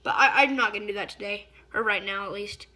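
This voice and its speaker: A slightly hoarse woman giving a casual hands-on fly-tying tutorial, chatty and often stopping to search for a word. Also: mid-range voice, fast talker, bright, forceful tone. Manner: chatty, stops to search for words.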